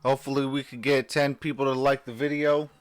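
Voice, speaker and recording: A man hosting a live stream, reacting to a game and chatting with viewers in a sleepy, just-woken voice, cheering like an excitable fan. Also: medium pitch, fairly quick, clear, resonant tone, dry recording. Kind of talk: casual live reaction chat.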